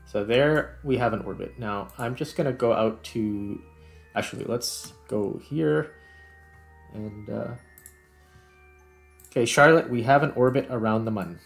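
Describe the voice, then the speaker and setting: A man's voice: medium pitch, a little slow, vocal fry, long pauses, faint music behind. A man giving casual gameplay commentary, thinking aloud as he plays. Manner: thinks aloud while playing. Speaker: gaming video host.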